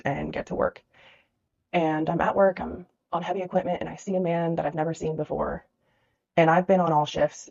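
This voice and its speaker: Low, gravelly woman with a stuffy nose. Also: darkish tone, no room sound, lo-fi sound. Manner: emotional, rambling with fillers and restarts. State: congested, nasal from crying and a cold. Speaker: dog trainer and business owner. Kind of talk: emotional personal vlog storytelling.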